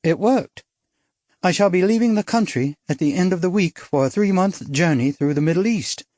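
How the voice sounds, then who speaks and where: A man's voice: high-pitched, expressive, normal speed, a little mellow, no room sound, studio quality, voice pushed up. A man voicing a witty, daring young upper-class Englishwoman who is an actress, with an arch, playful, theatrical manner.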